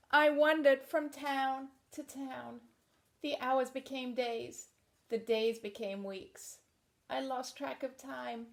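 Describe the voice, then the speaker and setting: A woman reading a picture book aloud to children in an expressive read-aloud manner, dropping to soft, chatty asides about the pictures. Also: sits high, vocal fry. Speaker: storybook reader.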